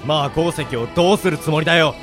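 A man playing a hot-tempered young mage and protective older brother in an amateur radio drama, with rough, blunt speech. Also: on the high side, fairly quick, natural voice. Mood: urgent, fierce. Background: music.

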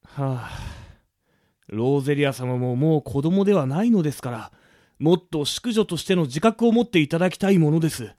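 A man's voice: a little high, expressive, moderate pace, dark tone, dry recording, studio quality, natural voice. A man playing a stiff, fretful butler of a noble house in a radio drama, speaking formally and fussily. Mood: worried, fussy. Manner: formal, stern, fussy. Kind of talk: anime-style radio drama acting.

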